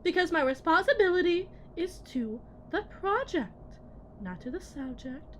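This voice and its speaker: A woman playing a cold, condescending lead technician in a scripted ASMR roleplay, speaking in a measured way that drifts into whispers. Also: extremely high pitch, big pitch swings, a little hiss or hum, full character voice. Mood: stern, condescending. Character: cold, condescending female lead technician at shady tech company.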